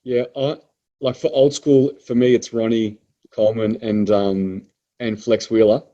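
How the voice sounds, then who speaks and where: A man's voice: lowish voice, fairly even intonation, unhurried, mellow, muffled recording. A man in his mid-thirties from Melbourne with an Australian accent, hosting a podcast and reading out listener questions in easygoing chat. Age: 30s. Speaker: prep coach podcast host reading questions.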